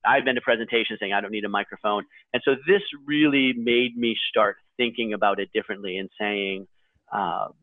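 A man's voice: fairly low pitch, brisk, dry recording. Man explaining over narrow, radio-like audio. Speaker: panel guest and article writer. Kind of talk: casual explanatory discussion.

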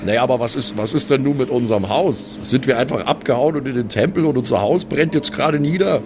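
A man's voice: mid-range voice, quick pace, music in the background, natural voice. A man playing an earnest, bookish dwarf son in an improvised audio roleplay, speaking formally and a little stiffly. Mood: worried.

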